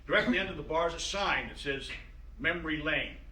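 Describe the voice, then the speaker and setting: A man reads out stage directions as scripted narration. His voice is bright and a little high, caught from a distance in a roomy space. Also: fast talker. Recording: roomy, distant mic, audible noise.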